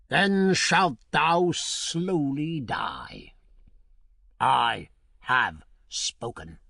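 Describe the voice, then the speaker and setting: A man's voice: on the high side, vocal fry, a bit bright, no echo, clean studio sound, exaggerated voice. A man voicing a stern, proud king who speaks in a solemn, archaic, commanding way.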